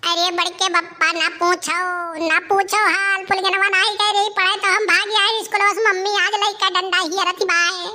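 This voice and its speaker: A whiny, cheeky village schoolboy voiced by a woman for a dialect cartoon. The voice is high and bright with an Awadhi accent, and the talk is colloquial, pleading and scheming. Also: flat delivery, moderate pace, hardly any pauses, heavily acted. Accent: Awadhi, eastern Uttar Pradesh. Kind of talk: dialect comedy cartoon voice acting.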